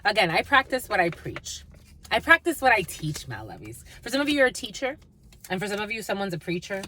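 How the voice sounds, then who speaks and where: A woman's voice: medium pitch, very animated, brisk, pushed and bright, a little hiss or hum, poor audio. A woman from the northeastern United States giving a casual live tarot reading, rambling with many fillers and talking fondly to her viewers. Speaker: tarot and energy reader.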